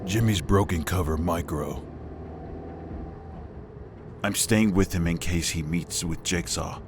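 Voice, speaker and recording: A man playing a grim, hardboiled vigilante in a comic dub, terse and deadpan like noir narration. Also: low voice, slow, noisy background. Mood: cold resolve.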